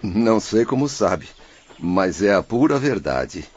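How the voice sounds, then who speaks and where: A man's voice: fairly low pitch, big pitch swings, slow pace, darkish tone, heavily acted. An actor playing a confused, pleading male spirit, an anguished father, in a religious radio drama, speaking deferential, emotional Brazilian Portuguese on a dull recording. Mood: puzzled, deferential.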